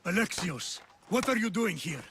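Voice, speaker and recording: A man's voice: high-pitched, big pitch swings, normal speed, pushed and bright, slight background noise, full character voice. A man playing a regretful, solemn fallen Spartan general and father in a dramatic video game.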